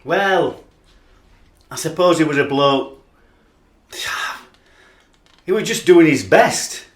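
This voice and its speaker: A man's voice: fairly high pitch, animated, slow. A man acting an anguished, obsessive Yorkshire rugby fan. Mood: uncertain, deflated. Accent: Yorkshire English. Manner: anguished, confessional, searching. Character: obsessed, aggrieved, ageing male rugby league fan, tormented by doubt. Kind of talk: radio play acting, emotional monologue and dialogue. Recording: roomy, echoing voice recording.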